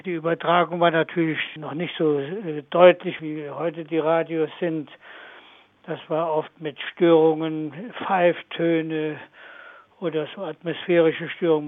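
A man's voice: a little high, fairly even intonation, unhurried, dry recording, phone-quality sound. An older man recalling his childhood hesitantly, with many fillers, in an interview. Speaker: eyewitness interviewee.

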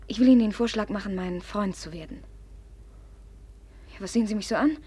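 An actress playing a proud, impulsive, jealous young woman of good family in a classic German radio play, her manner volatile and demanding, her voice breathy. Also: medium pitch, fairly quick, warm tone, close to an everyday voice. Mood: imperious, testing. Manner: imperious, volatile, swinging from confiding to accusing.

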